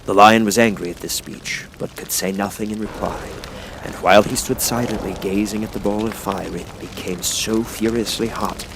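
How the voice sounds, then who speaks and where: A man's voice: fairly low pitch, fairly even intonation, normal speed, background noise. A man narrating a children's storybook from a script as an audiobook reader.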